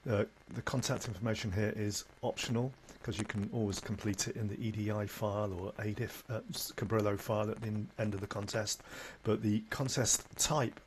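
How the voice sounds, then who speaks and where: A man with a fairly low voice presents an informal, step-by-step software tutorial. He is matter-of-fact and slightly halting as he demonstrates. There is background noise with some clicking behind the voice. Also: little pitch movement.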